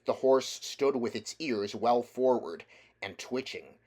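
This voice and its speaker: A man reading a children's novel aloud as a narrator, in a measured, deliberate storytelling manner. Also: mid-range voice, darkish tone. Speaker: audiobook reader.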